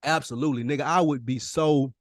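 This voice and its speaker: A man's voice: mid-range voice, steady tone, fast, dry recording, studio quality. An American man co-hosting a podcast in casual, slangy, emphatic talk, often acting out imagined dialogue. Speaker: podcast co-host.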